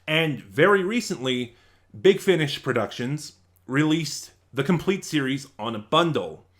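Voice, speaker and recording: Man giving a casual, halting review. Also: on the high side, expressive, a bit bright. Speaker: video reviewer and channel host. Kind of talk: casual spoken review to viewers.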